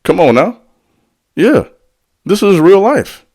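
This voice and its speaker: A man's voice: sits high, big pitch swings, takes it slowly, darkish tone. A man giving a motivational spiritual talk in a preacherly way. He speaks directly to the listener in slang and drops call-and-response asides between points. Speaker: spiritual teacher.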